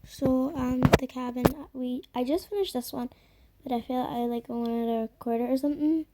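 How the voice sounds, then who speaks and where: A woman chatting loosely while playing a game, her voice croaky, full of fillers and trailing murmurs. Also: high voice, moderate pace, very noisy. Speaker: gamer. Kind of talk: casual gameplay commentary.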